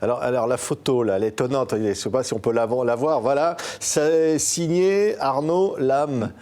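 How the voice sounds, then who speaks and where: A man hosting a television interview, with short interjections and quick prompting questions. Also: medium pitch, dark tone. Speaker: TV host. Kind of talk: talk show interview.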